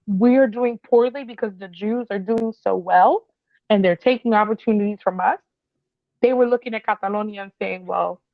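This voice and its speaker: A woman co-hosting a podcast who explains history in a run-through way and answers her co-host with quick agreement. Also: mid-range voice, vocal fry, no room sound, narrow, tinny audio. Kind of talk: casual chat.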